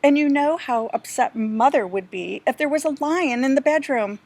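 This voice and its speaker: A woman's voice: sits high, moderate pace, a little mellow. A woman voicing a sensible, determined young girl in a storybook read-aloud, speaking firmly as she explains.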